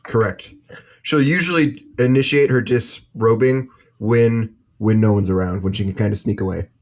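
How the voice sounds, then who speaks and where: Guy acting as a tired gentle dad, lowish voice, resigned, understated, improv drama. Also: moderate pace, lightly acted. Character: worn-down, gentle, resigned father of young girl. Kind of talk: improvised role-play conversation.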